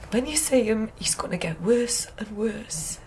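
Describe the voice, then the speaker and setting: A woman's voice: mid-range voice, animated, normal speed, a little mellow, slight background noise, lightly acted. A woman playing a weary, overwrought working-class mother in a radio drama, pleading and tender, with a North East English accent. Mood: tender, tearful. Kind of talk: naturalistic 1990s radio drama acting.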